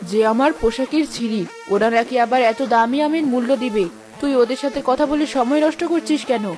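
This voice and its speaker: A woman's voice: high voice, a little fast, a little mellow, natural voice. A woman voicing a bossy, greedy village mother-in-law who scolds and accuses in a children's cartoon. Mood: angry, accusing. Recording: music behind the voice.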